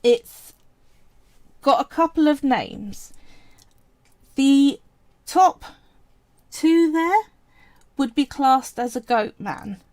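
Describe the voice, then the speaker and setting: Woman, high voice, slow unhurried delivery, chatty craft tutorial, dry close sound. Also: animated, a little mellow, dry recording. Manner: chatty and explanatory, often pausing to say "right" and "so". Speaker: polymer clay tutorial streamer. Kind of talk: casual live-stream craft tutorial.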